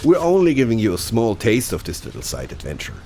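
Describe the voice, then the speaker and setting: A man presenting a game showcase in scripted, measured, explanatory promotional narration. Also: on the low side, huge pitch swings, background noise. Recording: voice-over with clear music behind.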